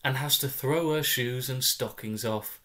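A man in his twenties reads a comic story at an unhurried pace, with a medium-pitched voice. He is wry and deadpan, breaking sentences into short, clipped phrases. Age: 20s. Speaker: story reader. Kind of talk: dramatic first-person comic story reading. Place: small room at home, indoors.

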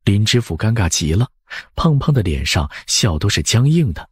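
A man narrates an audiobook story expressively. His voice is low and husky with lively swings in pitch. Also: moderate pace, dry recording, studio quality.

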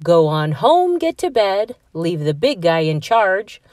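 A woman's voice: on the low side, sing-song, slow, darkish tone, no room sound, studio quality. A woman voicing a jolly, generous old Santa Claus in a children's storybook, kindly and reassuring as he takes charge. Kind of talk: children's storybook read-aloud.

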